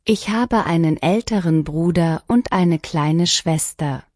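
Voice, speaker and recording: A woman reading short lesson sentences one at a time, each clearly and deliberately, for learners to repeat. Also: lowish voice, a little mellow, no echo, studio quality. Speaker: language lesson reader. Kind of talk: scripted shadowing practice reading.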